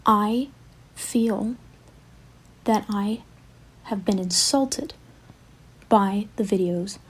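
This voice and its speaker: A woman speaks very slowly in a breathy, mid-pitched voice, as if leaving a voice message. Her delivery is earnest and halting, with deliberate, formal phrasing. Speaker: voicemail sender. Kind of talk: earnest recorded complaint message.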